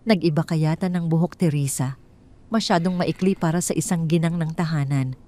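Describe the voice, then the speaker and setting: A woman's voice: deep voice, normal speed, warm tone, lightly acted. A woman voicing a stern, suspicious older rich mother-in-law who speaks formally and with authority in an audio drama story.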